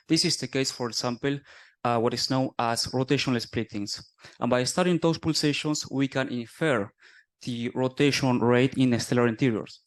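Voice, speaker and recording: Young man, conference presenter, mid-range pitch, steady explaining. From Argentina. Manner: explanatory, steady, with small self-corrections. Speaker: conference speaker, doctoral student. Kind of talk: scientific conference talk.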